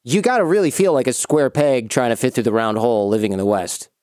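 A man's voice: mid-range voice, fast talker, dry recording. Male podcast host, casual and chatty, dry close sound. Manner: casual, animated, loose asides. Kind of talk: conversational interview and ad reads.

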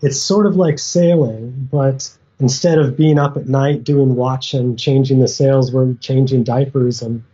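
A relaxed young man from the United States, talking over a remote call as a guest with easy hesitations. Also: mid-range voice, measured pace, hardly any pauses, breathy edge, muffled recording. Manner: relaxed, easygoing, some hesitations. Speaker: guest, sailor. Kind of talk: casual interview chat. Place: at home.

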